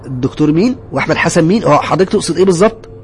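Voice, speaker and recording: A baffled male hospital receptionist, acted out by a man telling a story with an Egyptian accent. He sounds indignant and irritated, with raised pitch and rapid delivery. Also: expressive, faint music behind, close to an everyday voice. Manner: indignant, questioning.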